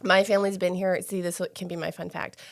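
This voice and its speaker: A woman's voice: lowish voice, flat delivery, fairly quick, gravelly. A woman, hosting a podcast in a chatty, laughing manner that often trails off into restarts. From Maine, USA. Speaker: podcast host. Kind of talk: casual podcast chat.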